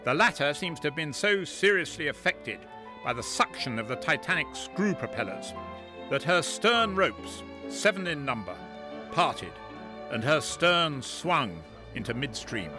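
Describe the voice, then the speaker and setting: An actor reading out a formal, urgent 1912 newspaper report for a radio drama. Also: male voice, on the high side, very animated, measured pace, over music. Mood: grave, urgent.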